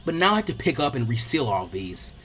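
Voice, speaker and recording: A storyteller voicing a brisk, easily annoyed but friendly teenage girl who talks in a blunt, casual way. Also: mid-range voice, big pitch swings, fairly bright tone, husky, voice pushed down.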